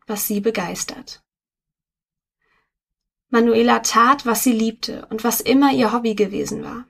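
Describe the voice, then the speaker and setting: Female narrator reading print copy aloud, steady and measured, on the higher side, close mic. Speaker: article reader. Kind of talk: scripted narration of a magazine article.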